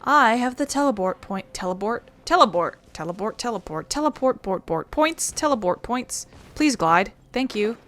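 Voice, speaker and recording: A woman's voice: on the high side, lively intonation, normal speed, hardly any pauses, a bit bright. A woman giving casual, playful gameplay commentary, thinking aloud as she goes. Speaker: video game streamer.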